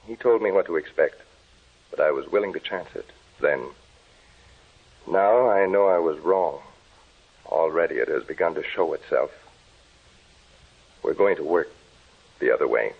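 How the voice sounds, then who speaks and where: Troubled research assistant, low husky voice, brooding, earnest, 1940s radio acting, tinny narrow recording. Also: male voice, animated, unhurried, long pauses, exaggerated voice. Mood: grim, intense. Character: troubled, guilt-ridden male scientist's assistant, desperately in love.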